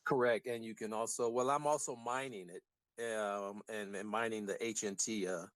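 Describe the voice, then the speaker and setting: Low-voiced caller, halting and full of fillers. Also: male voice, steady tone, fairly bright tone, no echo. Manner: halting, filler-heavy questions.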